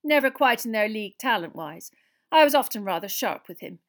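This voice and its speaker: A woman voicing a stern older female schoolteacher in a storybook, prim, sharp and proper. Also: high-pitched, animated, quick pace, voice pushed up. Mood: regretful.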